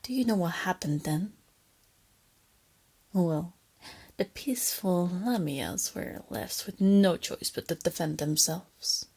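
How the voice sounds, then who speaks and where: Girl doing a lamia monster lady asmr thing, lowish breathy voice, whispery, teasing and babying you but kinda menacing. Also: slow, long pauses, exaggerated voice. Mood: storytelling, soft menace. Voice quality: soft, breathy, often slipping into whispers. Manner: teasing, coaxing, condescending, giggly. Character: female lamia captor, softly teasing, doting yet menacing. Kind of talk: intimate roleplay ASMR.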